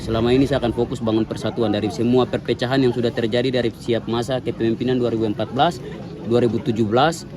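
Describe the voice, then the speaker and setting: A man with a Papuan Indonesian accent speaks quickly at a medium pitch. He makes a public statement as a local leader, outdoors with a noticeable crowd around him. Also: fairly even intonation. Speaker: newly installed local chairman. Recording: outdoor recording with crowd noise.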